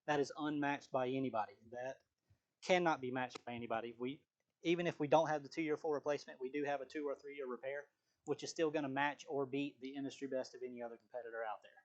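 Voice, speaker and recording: A man's voice: medium pitch, steady tone, fast talker, lo-fi sound. Man giving a casual sales training. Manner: casual, conversational, with frequent fillers and restarts. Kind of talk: live virtual sales training.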